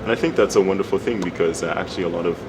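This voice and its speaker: A man interviewing a singer, asking his questions with many ums and pauses and affirming her answers. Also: low-pitched. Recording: noisy background hum.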